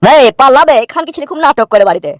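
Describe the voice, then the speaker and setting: Male actor as cartoon father-in-law: older, furious, very fast cursing, processed voice, dry sound. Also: very high voice, big pitch swings, a bit bright, no room sound, exaggerated voice. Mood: threatening. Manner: berating, crude, threatening. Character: angry, threatening, foul-mouthed father-in-law, older man.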